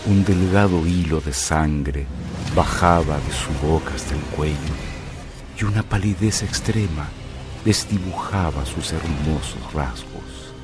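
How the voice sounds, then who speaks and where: A man narrating a mystery radio drama in a deliberate, dramatic way with pauses. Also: rumbling low, music in the background.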